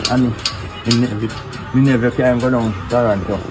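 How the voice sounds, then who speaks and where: A man's voice: medium pitch, flat delivery, normal speed, over music. A middle-aged man with a Jamaican Patois accent, chatting and telling stories in a casual street interview.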